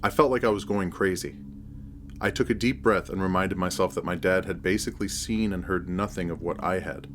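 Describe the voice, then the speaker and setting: A man's voice: low voice, a little fast. A man narrating a scripted first-person horror story as its narrator.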